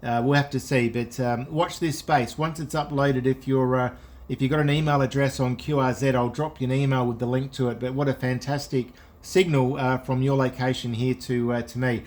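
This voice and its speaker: Man, medium pitch, amateur radio operator, friendly, keen, casual on-air chat. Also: level tone, normal speed, a little mellow. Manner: friendly, enthusiastic. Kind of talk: casual ham radio contact.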